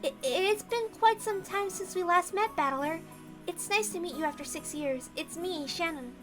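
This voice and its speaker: A woman voicing a timid, polite young servant woman, soft and hesitant, in a visual novel. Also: very high-pitched, moderate pace, faint music behind, voice pushed up.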